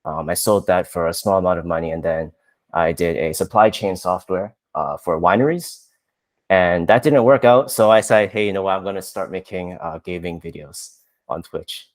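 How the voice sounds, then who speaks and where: A man in his thirties from Canada, chatting casually on a podcast, relaxed and self-deprecating with lots of fillers. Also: low-pitched, moderate pace. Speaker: podcast co-host, software engineer.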